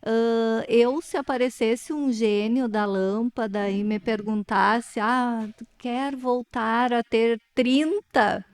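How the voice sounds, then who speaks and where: Slow, reflective woman. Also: a little high, steady tone, smooth. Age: 40s. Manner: thoughtful, unhurried, personal. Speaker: interviewer, educator. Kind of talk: reflective conversational interview.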